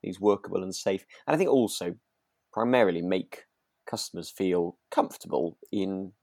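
Low-voiced British man chatting on a podcast. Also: normal speed, creaky, darkish tone, dry recording, clean studio sound. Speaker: podcast co-host. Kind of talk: casual chat.

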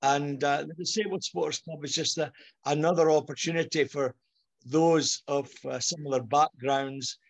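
A middle-aged man with a Scottish accent, speaking as a guest on a podcast discussion in a measured, articulate way. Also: fairly high pitch, steady tone, vocal fry, highs cut off. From Scotland.

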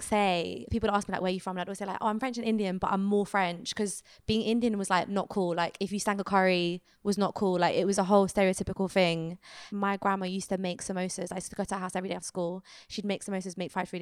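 A woman's voice: medium pitch, clear, resonant tone, dry recording, studio quality. A woman chatting casually and frankly in a very informal way. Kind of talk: candid casual conversation.

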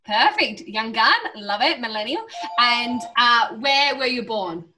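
A woman's voice: a little high, lively intonation, a little slow, pushed and bright, a little hiss or hum. A young woman hosting a podcast interview, bubbly and effusive, full of gushing praise for her guest. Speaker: podcast host. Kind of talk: casual interview.

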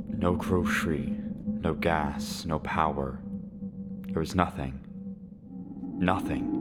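A man playing a lonely, resigned Syrian widower in his seventies in a dramatic podcast monologue, halting and reflective. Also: very deep voice. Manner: slow, halting, reflective delivery with weighty pauses.

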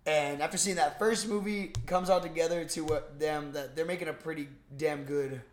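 A young man co-hosting a podcast, chatting casually about films in an excitable, slangy way with some swearing. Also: a little high, moderate pace, roomy sound, a little hiss or hum. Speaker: podcast co-host. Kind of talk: casual film review chat.

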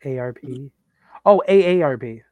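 A man's voice: medium pitch, animated, normal speed, darkish tone, no echo. A man in his late thirties co-hosting a podcast, rambling and joking crudely in casual banter. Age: 30s. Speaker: podcast co-host.

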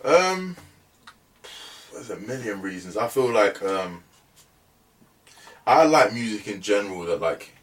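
Young man, lowish voice, laid-back guest, a bit off-mic. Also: measured pace, pauses often, distant mic, rough recording. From England. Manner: relaxed, chatty, many fillers like "you know what I mean". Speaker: musician guest. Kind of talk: casual podcast interview.

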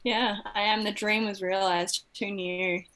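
A woman's voice: mid-range voice, level tone, unhurried, fairly bright tone. A cheerful woman in her thirties, a guest on a podcast, talking casually over a video call. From Sydney, Australia. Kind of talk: casual interview chat.

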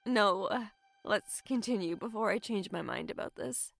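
A woman playing a shaken but defiant young survivor in an audio drama, halting and emotionally raw. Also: medium pitch, creaky, a bit bright, dry recording. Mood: grieving.

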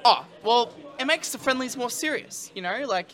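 A man co-hosting a live podcast, loud, argumentative and joking. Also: extremely high pitch, expressive, normal speed, faint music behind. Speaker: podcast co-host. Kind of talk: casual debate.

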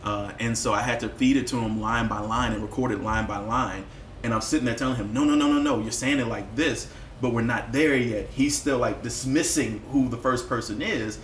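A man speaking as a musician guest in a candid podcast conversation, telling personal stories in a reflective, expressive way with casual swearing. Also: mid-range voice, fairly quick. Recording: roomy echo with faint background noise.

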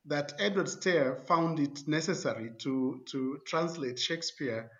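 A man's voice: fairly high pitch, a little slow, a bit bright. A man on an academic panel, agreeing with a colleague and thinking aloud. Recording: dull lo-fi audio.

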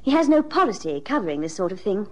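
Wealthy British lady, middle-aged, husky, animated pitch, refined, insistent, radio drama. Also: fast. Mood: frank, exasperated. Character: wealthy married lady of forty, demanding, frank, captivating.

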